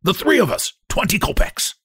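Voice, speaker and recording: A high, cracked, quivering voice swoops about as a short young hunchback from a storybook. He is bossy and jeering, barking impatient abuse. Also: big pitch swings, moderate pace, bright, forceful tone, breathy edge, dry recording, clean studio sound, heavily acted. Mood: calling out.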